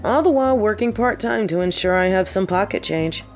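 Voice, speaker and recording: Heavy echo and music surround a young woman played by a voice actress, set back from the mic. She is a wistful, defiant biker confiding her memories on a date in an audio drama. Her pitch sits at an ordinary middle height. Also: animated, brisk, darkish tone, natural voice. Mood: wistful, subdued. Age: young adult. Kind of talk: scripted audio roleplay monologue. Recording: very echoey, distant sound with music behind.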